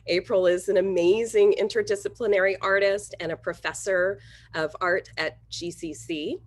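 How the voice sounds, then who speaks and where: A woman's voice: mid-range voice, fairly even intonation, normal speed. A woman hosting an event, welcoming the audience and introducing a speaker with formal thanks. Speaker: host, academy director and teacher.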